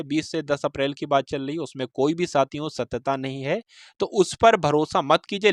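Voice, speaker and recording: Higher-pitched man explaining exam news, dry sound. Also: no room sound. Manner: repeats and rephrases points, addresses listeners as friends. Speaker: host of exam preparation channel. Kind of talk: informal explanatory exam news update to viewers.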